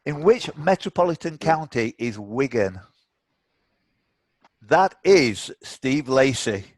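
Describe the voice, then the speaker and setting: Bloke hosting a zoom quiz, chatty and teasing, medium pitch, really dry sound. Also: male voice, big pitch swings, slow, dry recording. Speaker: quiz host. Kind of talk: live online quiz hosting, off-the-cuff. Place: indoors, online video call.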